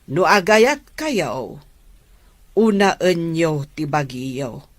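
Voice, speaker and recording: A woman's voice: low-pitched, expressive, extremely slow, dry recording, full character voice. A woman playing a caring middle-aged mother in a radio drama, giving tender, earnest counsel. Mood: tender, advising. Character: gentle, caring middle-aged mother advising her daughter.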